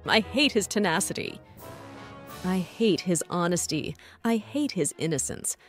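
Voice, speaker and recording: A woman's voice: fairly low pitch, lively intonation, unhurried, faint music behind. A woman voicing a moody purple cartoon cat in a comic dub, theatrical and swinging between sweet and snappish. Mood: bitter, brooding. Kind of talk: animated comic dub acting.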